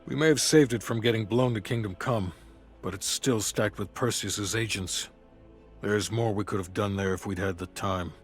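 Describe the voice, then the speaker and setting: A man playing a businesslike American intelligence agent in a game, giving a matter-of-fact military briefing in a husky voice. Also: on the low side, moderate pace, natural voice. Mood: grim. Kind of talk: scripted game cutscene dialogue. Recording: faint music behind the voice.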